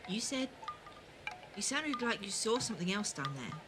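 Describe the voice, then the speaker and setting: A woman playing a caring, anxious companion in a fan audio drama, gentle and hesitant. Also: medium pitch, big pitch swings, moderate pace, noisy background, lightly acted.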